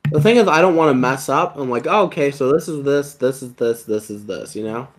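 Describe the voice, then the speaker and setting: A young man with a slight lisp, chatting casually as a video host, self-deprecating and trailing off quietly at the ends of his phrases. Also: mid-range voice, brisk. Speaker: YouTube Lego channel host. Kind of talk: casual chat.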